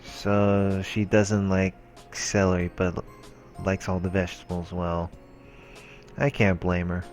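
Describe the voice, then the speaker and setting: Low-voiced man reading a game aloud. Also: flat delivery, slow, darkish tone. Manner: informal, stumbles while reading, exclaims. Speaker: let's play host reading a visual novel aloud. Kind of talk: casual commentary mixed with reading game narration aloud. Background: background music from the game.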